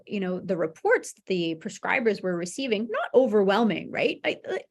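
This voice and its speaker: A woman presents research in a webinar at a medium pitch. Her voice has noticeable vocal fry. She explains things informally, checking in with listeners as she goes. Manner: informal explaining with frequent "you know" and "right?" check-ins. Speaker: medical researcher presenting a study.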